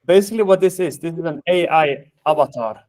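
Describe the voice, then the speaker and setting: A man's voice: on the high side, brisk, darkish tone, no echo, clean studio sound. A man with a Swedish accent hosting a webinar over a video call, friendly and full of fillers. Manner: friendly, hesitant with many fillers. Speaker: webinar host. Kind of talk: casual webinar chat.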